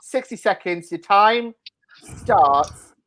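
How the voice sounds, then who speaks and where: A woman hosting a panel game in a playful, excitable, teasing manner. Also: mid-range voice, slow pace. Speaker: host of a panel show.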